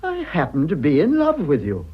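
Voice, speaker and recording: An actor playing a prim, petulant middle-aged husband in a period radio drama, with an upper-class British accent and a fussy, spluttering, condescending delivery. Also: male voice, on the high side, huge pitch swings, normal speed, slightly rough, highs cut off, full character voice. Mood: hurt, pleading.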